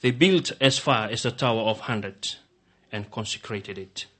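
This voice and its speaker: A man whose first language is Fijian preaches in English, in short phrases that leave room for an interpreter. His voice sits a little low, and his delivery is broken up by fillers. He rises to loud exclamations of praise. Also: a little slow. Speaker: guest preacher. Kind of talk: sermon in English with live interpretation.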